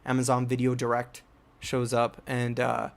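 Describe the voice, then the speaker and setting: Rambling young man, flat and even. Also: mid-range voice, normal speed, gravelly. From United States (New Jersey). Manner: rambling, many fillers and restarts. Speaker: filmmaker and channel host. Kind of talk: casual personal storytelling.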